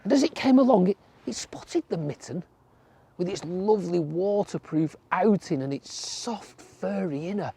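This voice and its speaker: A storyteller narrating a folk tale for children in a gentle way, dropping to a whisper or drawing words out for effect. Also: mid-range voice, animated, normal speed, vocal fry, a little hiss or hum.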